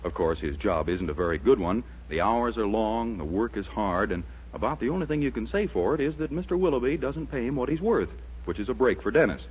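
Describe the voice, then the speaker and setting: A man's voice: mid-range voice, expressive, fairly quick, slight background noise. An American man announcing a 1940s radio show in a polished, emphatic scripted sales style. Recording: dull 1940s radio recording, music behind.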